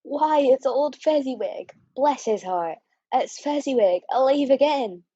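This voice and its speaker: Shaken, earnest old man, played by a woman: husky, mellow tone, radio play, slightly dull recording. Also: sits high, animated, measured pace, dry recording, heavily acted. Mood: excited, fond.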